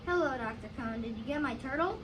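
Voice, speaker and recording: A high-pitched, stubborn young boy, upset about a lost pet as read by a female performer. The lines are read plainly, as in a school play. The recording is distant and very noisy. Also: expressive, quick pace. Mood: worried questioning. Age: child or young. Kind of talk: school readers theater reading. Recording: distant mic, loud background noise.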